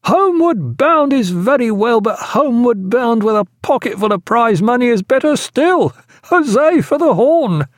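A man's voice: very high-pitched, lively intonation, normal speed, no room sound, clean studio sound, voice pushed up. A man voicing a tipsy, exuberant sailor in an audiobook, crying out merrily. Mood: merry, tipsy. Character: tipsy, exuberant male sailor cheering for prize money.

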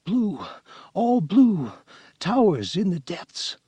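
A man voicing a stoic, winded expedition companion in an audiobook, with a breathy, strained voice and clipped delivery. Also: high-pitched, sing-song, slow, mellow, no room sound, pitched above the performer's own voice, exaggerated voice. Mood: dazed, breathless.

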